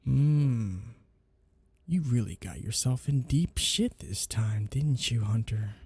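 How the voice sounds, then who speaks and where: Man playing a husky, smug vampire, close up. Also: mid-range voice, lively intonation, takes it slowly, mellow, dry recording, exaggerated voice. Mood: taunting, amused. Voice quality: husky, breathy. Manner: teasing, taunting, lingering drawl on words. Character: smug, predatory male vampire, mockingly flirtatious toward captive. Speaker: ASMR roleplay performer. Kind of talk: intimate ASMR roleplay monologue.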